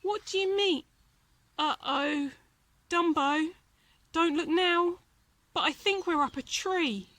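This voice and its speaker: A plucky little circus mouse, played by a woman reading a storybook, speaks in a high, excitable voice. He is an eager, loyal friend who exclaims and encourages. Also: slow pace, long pauses, heavily acted. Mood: startled, puzzled.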